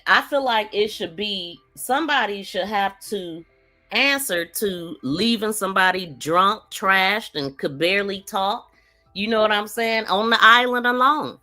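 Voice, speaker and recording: A woman's voice: medium pitch, sharp tone. A woman hosting a casual true-crime live commentary, talking in an opinionated, questioning way. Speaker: podcast host.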